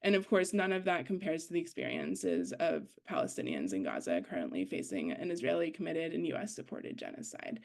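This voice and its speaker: A woman's voice: sits low, wildly swooping pitch, a little fast, vocal fry, few pauses, darkish tone. A young woman, a student organizer, speaking earnestly on an online panel, with many fillers and restarts. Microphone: video call.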